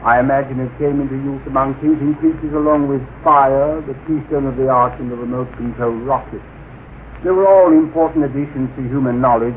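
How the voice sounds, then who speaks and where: An actor playing a haughty alien officer in a radio drama, speaking with formal, precise and condescending diction. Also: male voice, medium pitch, lightly acted. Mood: polite, superior. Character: haughty male alien officer, coolly contemptuous of humans. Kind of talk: old-time radio drama acting. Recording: tinny, noisy vintage radio recording.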